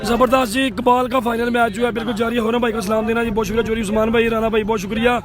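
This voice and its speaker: A man's voice: very high-pitched, level tone, racing, a loud crowd. A man doing live cricket commentary outdoors, who keeps thanking viewers by name and asking them to share the stream.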